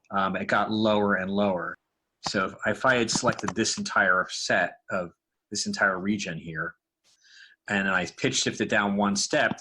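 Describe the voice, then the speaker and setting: A man's voice: on the low side, pushed and bright, slight background noise, rough recording. A man giving a casual, informal walkthrough as a podcast creator and sound designer, explaining loosely as he goes.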